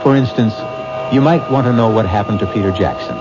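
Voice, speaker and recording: A man's voice: fairly low pitch, fairly quick. A man hosting a radio mystery show, wrapping up the story in a theatrical, lingering way. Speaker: host and narrator. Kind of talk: radio mystery host outro. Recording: dull old radio broadcast with loud hiss.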